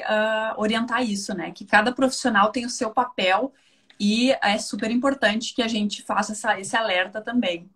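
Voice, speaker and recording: A woman with a southern Brazilian accent, explaining conversationally as a guest nutritionist in a live online talk, chatty, with emphatic repetition and frequent tag questions. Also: fairly high pitch.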